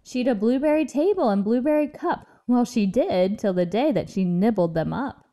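A woman reading a children's rhyming story aloud in a measured, expressive sing-song way. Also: a little high. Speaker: picture book reader.

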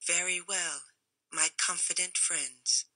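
A male stranger with a thin, high, sharp-edged voice and a foreign accent, put on by a woman reading aloud. He speaks gravely, like a prophet of doom. The tone is bright and cutting. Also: very animated, measured pace, no room sound, exaggerated voice, poor audio. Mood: ominous. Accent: foreign-sounding. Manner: solemn, warning.

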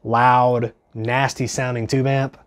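A man's voice: mid-range voice, level tone, slow. A young man in his twenties with a stuffy, congested voice from a cold, chatting casually and earnestly as he reviews gear in his small home studio, with lots of ums. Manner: chatty, filler-heavy, earnest.

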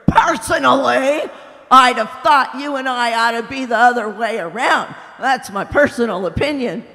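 A woman voicing a blustering, aggrieved man's ghost who shouts his complaints in a storybook reading. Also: sits high, takes it slowly, full character voice. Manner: loud, indignant, shouting. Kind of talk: audiobook character voice. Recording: long hall reverb.

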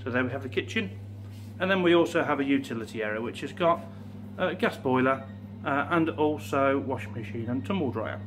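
A man in his forties, an estate agent, walking through a house and pointing out rooms in a steady, informative way. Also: mid-range voice, fairly quick, slight background noise. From England. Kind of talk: property walkthrough tour.

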